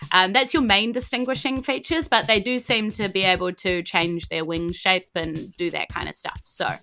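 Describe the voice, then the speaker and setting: A woman's voice: medium pitch, steady tone, normal speed, pushed and bright, faint music behind. A woman with a New Zealand accent improvising narration as a tabletop game master, informal and often groping for words.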